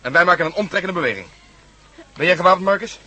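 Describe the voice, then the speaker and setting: An actor playing a brisk, decisive policeman leading a rescue in a radio play. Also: high voice, sharp tone, heavily acted. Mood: commanding.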